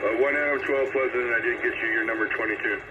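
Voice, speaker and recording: Through a very noisy, narrow radio signal comes a man's voice, calling a check-in net at a brisk clip. He is courteous and procedural, acknowledging stations as the host. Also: medium pitch, fairly even intonation. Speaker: radio roll-call host. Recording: noisy, tinny CB radio transmission. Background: loud radio static.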